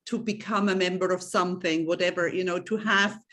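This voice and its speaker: A woman's voice: on the low side, fairly even intonation, brisk, a bit bright. A woman from Switzerland, a guest in an interview, speaking earnestly and repeating key points for emphasis. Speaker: guest, coach and author. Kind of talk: interview conversation.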